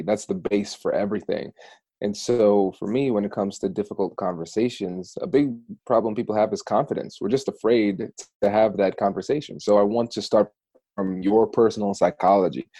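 A friendly man from Ohio guests on a podcast, explaining his ideas thoughtfully. His voice is low and mellow, and the sound is dry and close. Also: fairly even intonation, normal speed, dry recording. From Ohio, USA. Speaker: podcast guest, negotiation attorney. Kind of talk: podcast interview.

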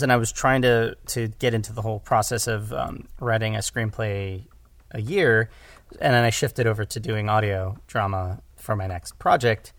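A man's voice: mid-range voice, fairly bright tone, no echo, clean studio sound. A man talking casually as an audio drama creator in an interview, often repeating words and correcting himself as he goes. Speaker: audio drama writer-director, podcast guest.

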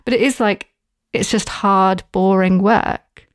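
A woman talks on a podcast, her mid-pitched voice measured and reflective. She often stops and restarts as she thinks. The sound is dry and close, with no room around it. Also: steady tone, clear, resonant tone, dry recording. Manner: thoughtful, halting with restarts and fillers. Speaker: podcast guest researcher. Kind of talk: casual podcast discussion.

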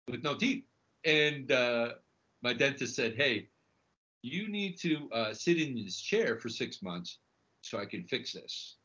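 A middle-aged man from Chile telling his own story conversationally as a guest, halting and repeating his words. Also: a little high, sharp tone. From Chile, emigrated to the United States. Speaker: podcast guest, snack bar entrepreneur. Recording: dull, lo-fi audio.

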